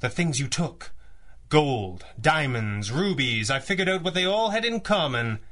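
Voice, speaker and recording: An actor playing a composed, wry masked hero in a 1930s-style radio drama, speaking in a formal, theatrical manner. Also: male voice, a little high, big pitch swings, a little slow, a bit bright. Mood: smug, wry.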